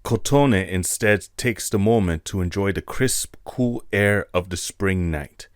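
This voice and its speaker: Deep-voiced male story narrator. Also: a little slow, no room sound, studio quality.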